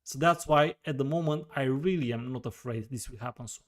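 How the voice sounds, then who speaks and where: A man's voice: mid-range voice, a little fast. A man with a Romanian accent hosting a live question-and-answer session, thinking aloud with frequent fillers and repeated words. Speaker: host answering viewer questions.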